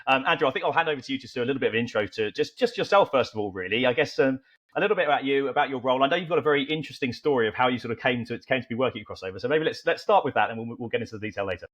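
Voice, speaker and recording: Fast-talking male podcast host, muffled sound. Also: mid-range voice, lively intonation, fairly bright tone. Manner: fast, hesitant, with fillers and repeated words. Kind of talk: hosting an interview. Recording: dull lo-fi audio.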